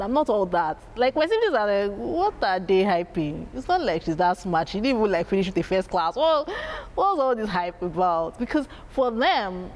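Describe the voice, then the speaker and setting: A woman with a strong, loud voice, telling stories about her family as an interview guest, animated and laughing as she acts out her parents. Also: medium pitch. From Nigeria. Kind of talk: candid storytelling.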